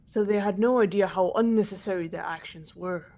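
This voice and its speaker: A woman playing a composed, analytical student detective, explaining in a measured way for a fan-voiced game. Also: mid-range voice, dark tone, natural voice. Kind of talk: fan voice acting of a video game script.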